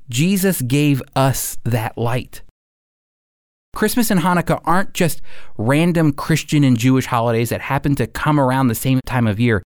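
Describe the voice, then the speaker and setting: A man's voice: mid-range voice, no room sound, clean studio sound. A man giving devotional teaching as a program host, with earnest, emphatic phrasing. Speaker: radio show host and Bible teacher. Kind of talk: devotional teaching, reading and explaining.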